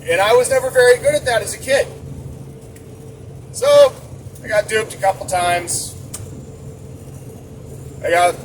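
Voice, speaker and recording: A man from the area, rambling casually and poking fun at himself while he drives his truck. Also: sits high. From Boston area. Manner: rambling, chatty, self-mocking. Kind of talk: casual vlog while driving. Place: truck cab on the road. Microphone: camera mounted inside the cab. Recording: distant mic with road noise. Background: engine and road noise.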